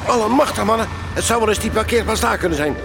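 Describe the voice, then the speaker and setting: A man's voice: sits high, fast talker, creaky, noisy background. A man playing a hearty, commanding police sergeant in a radio play, speaking to his team with jovial authority. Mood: urgent, commanding. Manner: jovial authority, addresses his companions as lads.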